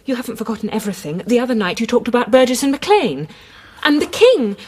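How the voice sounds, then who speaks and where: An actress playing an earnest, determined female psychoanalyst in a radio drama, urgent and persuasive, with a breathy voice. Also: fairly high pitch, sing-song, fast talker, a little mellow.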